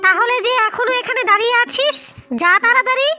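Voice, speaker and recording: Woman playing a high-voiced, scornful cartoon stepmother. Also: quick pace, over music. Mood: scornful scolding. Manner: sneering, scolding. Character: scornful, bullying stepmother who dotes on her son. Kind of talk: cartoon fairy-tale acting.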